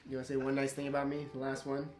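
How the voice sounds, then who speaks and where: A young man co-hosting a casual challenge video, teasing and quick to laugh. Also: mid-range voice, monotone, darkish tone. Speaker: guest co-host. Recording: roomy echo, faint music.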